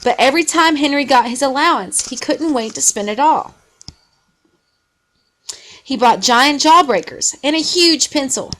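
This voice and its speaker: A woman reading a children's story aloud in an expressive way. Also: high voice, a little slow, long pauses. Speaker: storybook reader.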